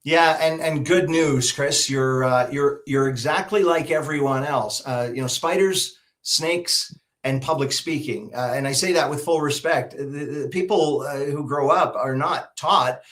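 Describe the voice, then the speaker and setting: A middle-aged man from Alberta with a Canadian accent, giving advice as an interview guest in an emphatic, polished, deliberate way. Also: fairly high pitch, a bit bright. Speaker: guest, public speaker and emcee. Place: video call.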